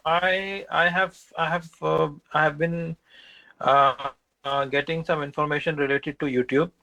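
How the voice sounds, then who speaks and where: Man, client on a business call, halting, fillers, bright, slightly high. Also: steady tone, vocal fry. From Pakistan. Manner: halting, repeats words, many fillers. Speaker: prospective client.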